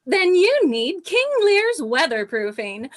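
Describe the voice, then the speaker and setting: A woman's voice: sits high, sing-song, slow, very noisy, heavily acted. A woman playing a cheesy, salesy announcer in a parody radio commercial.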